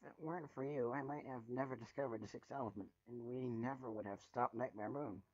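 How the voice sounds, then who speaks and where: A man's voice: mid-range voice, fairly even intonation, fairly quick, breathy edge, lightly acted. A man voicing a confident, friendly young female unicorn with a gracious, teasing manner in a storybook reading. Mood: grateful, teasing. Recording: muffled, roomy home recording.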